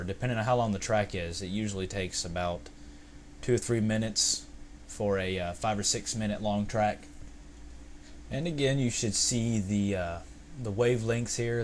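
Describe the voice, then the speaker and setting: A man giving a casual, unscripted step-by-step software tutorial in an informal, explanatory way. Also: fairly low pitch. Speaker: video tutorial presenter. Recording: amateur home recording, steady background noise.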